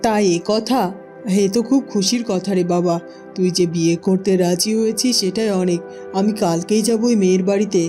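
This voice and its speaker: Woman as a dark-toned, fussy mother-in-law. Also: mid-range voice, brisk, lightly acted. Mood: pleased. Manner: scolding, exclamatory, fussing. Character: bossy, fussy middle-aged village mother-in-law. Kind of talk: Bengali cartoon dubbing. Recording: music behind the voice.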